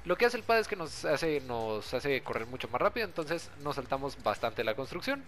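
A man's voice: mid-range voice, big pitch swings, moderate pace, a bit bright, slight background noise. A young man giving a casual, friendly gameplay tutorial, talking directly to his viewers in an informal way. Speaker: gaming video creator and host.

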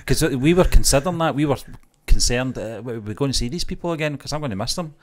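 A middle-aged man co-hosting a casual review chat, speaking in a halting, hesitant way into a close microphone. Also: mid-range voice, animated. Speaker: YouTube reaction show co-host. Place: small indoor home studio. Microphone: close microphone on boom arm.

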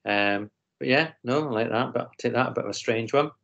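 A male teacher running an online lesson, his voice at an ordinary middle pitch. He talks in a halting way, full of ums and false starts, and the call audio sounds slightly dull. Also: gravelly, fairly bright tone. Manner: hesitant, frequent ums and restarts. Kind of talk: online class discussion. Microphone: video call.

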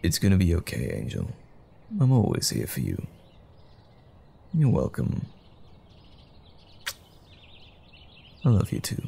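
Casting: male actor for devoted, comforting boyfriend in audio roleplay; low, gravelly edge, tender and playful. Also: wildly swooping pitch, moderate pace, warm tone, a little hiss or hum, heavily acted. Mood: tender, reassuring. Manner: tender, reassuring, playful, uses pet names. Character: loving, attentive boyfriend comforting his troubled partner.

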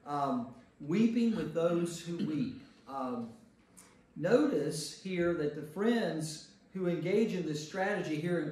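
An American man, a pastor leading an informal Bible study, speaking emphatically and repeating phrases for stress. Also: a little high. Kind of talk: informal teaching with group discussion. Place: indoor church meeting room. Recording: echoey room, distant mic, faint noise.

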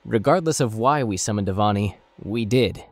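A man's voice: fairly low pitch, normal speed, no echo, soft background music. A man playing a wary, polite part-elf messenger in a fantasy audio drama, speaking in a matter-of-fact way.

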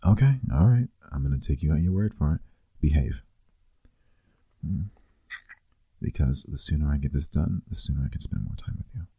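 A man playing a playful, affectionate boyfriend in an intimate ASMR roleplay, teasing softly and conversationally, close to the microphone. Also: rumbling low, lively intonation, moderate pace, pauses often, slightly rough, no echo, exaggerated voice.

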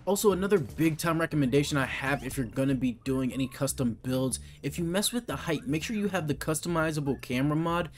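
A man's voice: a little high, animated, quick pace, hardly any pauses. A young man giving casual, slangy tutorial commentary as a gaming video host. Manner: informal, slangy, explanatory. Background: music.

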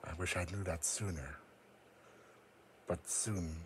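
A man voicing a proud, wary psychic creature in a grave, halting, formal manner for a dramatic fan audio roleplay. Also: sits low, fairly even intonation, slight background noise. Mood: weary, regretful.

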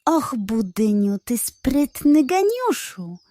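A woman voicing a sly, greedy male pug dog in a children's storybook, a fast-talking schemer with a wheedling, theatrical manner. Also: fairly high pitch, big pitch swings, mellow, no room sound. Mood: smug.

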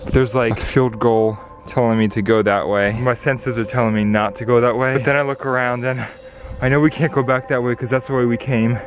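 A man's voice: medium pitch, fairly even intonation, a little fast, hardly any pauses. A young man filming himself at arm's length as he hurries through the woods, talking urgently with gasps and exclamations. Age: young adult. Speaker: vlogger. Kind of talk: excited vlog narration. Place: woods outdoors. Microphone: handheld camera at arm's length.

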